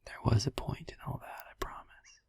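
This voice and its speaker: A man playing a sleepy, gentle, reassuring vampire boyfriend in a whispered sleep-aid audio roleplay, rambling in a hesitant, breathy, husky murmur. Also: close to an everyday voice. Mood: drowsy, rambling. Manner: rambling, hesitant, halting, drowsy.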